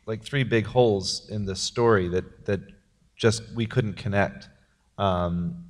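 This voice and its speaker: Rambling low-voiced filmmaker in a hall. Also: male voice, slow pace. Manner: jokey, rambling with many fillers. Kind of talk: post-premiere panel talk.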